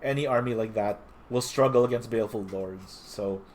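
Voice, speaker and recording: A man's voice: on the low side, normal speed, darkish tone. A young man from the Philippines with a slightly nasal voice, a little sick, giving a casual spoken recap as a tournament player with frequent self-corrections.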